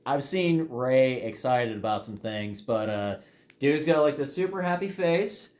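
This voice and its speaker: A man co-hosting a casual podcast, speaking in a laid-back way with many fillers and quick questions. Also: mid-range voice, expressive, darkish tone, reverberant. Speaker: podcast co-host. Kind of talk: casual chat.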